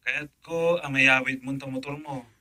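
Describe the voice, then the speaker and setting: A man's voice: medium pitch, fairly even intonation, measured pace, bright, forceful tone. A man playing a fond, teasing uncle in an Ilocano radio drama, with an Ilocano Filipino accent. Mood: generous, directive. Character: fond, teasing uncle giving his niece advice.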